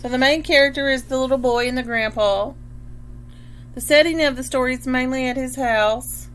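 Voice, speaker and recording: A woman reading a picture book aloud like a teacher, pausing to explain and check understanding, with a noisy hum. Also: sits high. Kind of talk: picture book read-aloud with questions to children.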